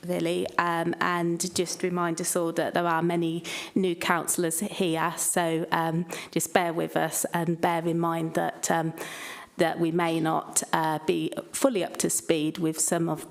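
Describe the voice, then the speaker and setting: A woman's voice: low voice, completely flat delivery, a little slow, vocal fry. A woman chairing a council committee meeting, speaking with frequent hesitations and fillers. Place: council chamber.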